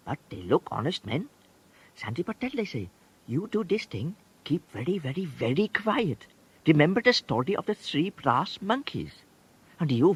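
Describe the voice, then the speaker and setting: Simple excitable Indian cleaner, Indian accent, highish raspy voice, repetitive musing, radio comedy. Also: male voice, full character voice. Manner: musing to himself, repeating words. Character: naive, excitable Indian underground passage sweeper.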